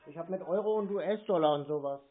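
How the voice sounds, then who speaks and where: A man's voice: fairly high pitch, fast, crowd noise. A man in a casual phone conversation, sounding hesitant, noncommittal and skeptical as a customer. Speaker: scambaiter posing as a customer. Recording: noisy narrowband phone call.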